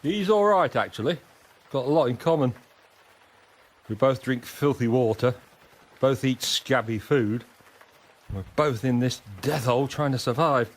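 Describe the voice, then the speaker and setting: Cheeky, chatty trench private. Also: male voice, mid-range voice, expressive, a little slow, long pauses. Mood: teasing, droll. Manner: teasing, chatty. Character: cheeky, droll WW1 private fond of a rat. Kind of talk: radio drama acting.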